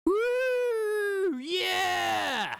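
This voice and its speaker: A man voicing awestruck, excitable party guests who gush and exclaim in a game fandub. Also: extremely high pitch, extremely slow, creaky, no room sound, clean studio sound, voice pushed up, exaggerated voice. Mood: thrilled. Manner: gushing, exclaiming.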